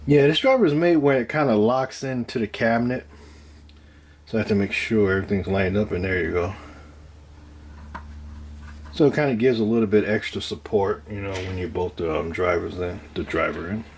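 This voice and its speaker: A man giving a casual, hands-on demonstration of his own DIY project, talking in a halting, unscripted way as he thinks aloud, with some noise in the recording. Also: lowish voice. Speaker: DIY speaker builder presenting own project.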